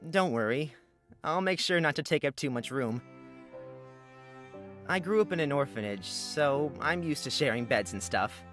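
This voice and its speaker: An earnest young male traveller, acted by a woman from a fantasy visual novel. His voice sits low for the performer and is strikingly bright, with a plainspoken, easygoing manner. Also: expressive, normal speed, pauses often, soft background music. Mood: reassuring, matter-of-fact.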